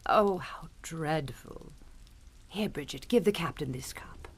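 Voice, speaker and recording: An actress playing a proper middle-aged matron in a suspense drama, fussy and theatrically concerned. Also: female voice, low-pitched, expressive, slow pace, slightly rough, exaggerated voice. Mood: gushing, genteel. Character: proper, fussy English matron, mothering and controlling. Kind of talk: radio suspense drama acting.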